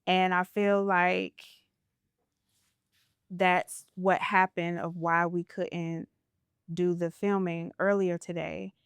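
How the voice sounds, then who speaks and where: A woman's voice: on the low side, flat delivery, slow pace, long pauses, clear, resonant tone, dry recording, clean studio sound. A woman podcast host talking in an earnest, reflective way as she shares a spiritual story in a casual interview.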